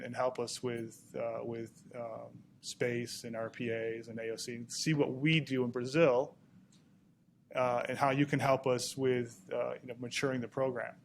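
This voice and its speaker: Halting, flat-voiced man on a panel. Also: medium pitch, vocal fry, a little hiss or hum. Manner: halting, many restarts and fillers. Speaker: panel speaker. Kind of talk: panel talk. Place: conference room.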